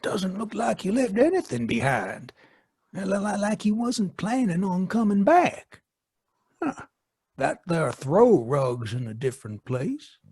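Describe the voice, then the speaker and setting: Man playing husky, excitable rural husband. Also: high-pitched, measured pace, no echo, heavily acted. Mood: muttering, curious. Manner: folksy, muttering, stammering. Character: excitable, grumbling farmhand husband Herman, rural and superstitious. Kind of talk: old-time radio play acting. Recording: dry live video-call recording.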